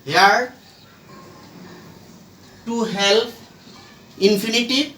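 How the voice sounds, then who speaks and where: A man teaching a grammar lesson at a board, speaking in deliberate, halting, emphatic phrases, recorded from a distance in an echoey room. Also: high voice, sharp tone. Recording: roomy echo, distant mic, faint noise.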